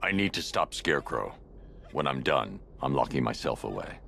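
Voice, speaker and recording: An actor playing a grim, commanding masked vigilante in a video game, terse and stern in a gravelly voice. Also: male voice, a bass voice, big pitch swings, moderate pace, sharp tone, a little hiss or hum, heavily acted. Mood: grim resolve. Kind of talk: video game hero acting.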